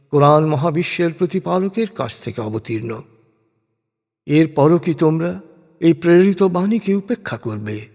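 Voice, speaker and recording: A man gives a solemn, scripted reading of scripture in a measured, declamatory manner. His voice sits a little high for a man and has a husky grain. A long hall-like reverb trails his words. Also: mellow. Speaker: reciter of a scripture translation. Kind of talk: solemn scripted religious narration.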